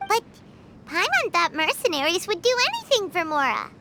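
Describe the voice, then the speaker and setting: A woman voicing a tiny, childlike, excitable fairy companion in a video game, squeaky and cutesy. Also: moderate pace, a little hiss or hum, heavily acted. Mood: puzzled. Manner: squeaky, cutesy, refers to herself in third person.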